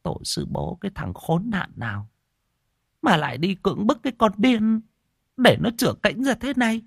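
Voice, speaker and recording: A man voicing a gruff, foul-mouthed old woman of about seventy who scolds loudly but is kind underneath. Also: high voice, very animated, normal speed, no echo, clean studio sound, voice pushed up, exaggerated voice. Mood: indignant. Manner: scolding, cursing.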